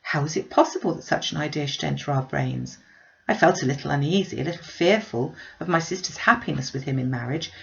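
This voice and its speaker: Kind elder sister, storybook period novel female reader acting: earnest, conciliatory, lowish gravelly voice, dull sound. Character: gentle, charitable, troubled young gentlewoman, the elder sister.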